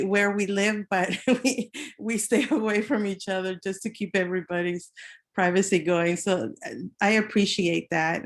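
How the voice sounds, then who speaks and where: Unhurried woman from El Salvador. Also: lowish voice. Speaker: invited guest. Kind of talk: personal introduction. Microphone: video call.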